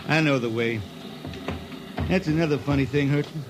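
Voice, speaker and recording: An actor playing a rough, wistful blinded spaceship jetman turned singer, with a rustic Southern hill-country drawl, in 1950s radio drama style. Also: on the high side, unhurried, over music, lightly acted. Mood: wistful, dreamy. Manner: rustic drawling dialect, plainspoken. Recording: noisy vintage 1950s radio recording.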